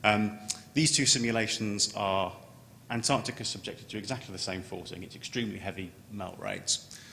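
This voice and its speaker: A man giving an unscripted technical conference presentation as a scientist, halting and often repeating words as he starts a phrase. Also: gravelly, pushed and bright. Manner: halting, with stammered word repetitions. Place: large conference room. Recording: echoey hall, noticeable background noise, low rumble.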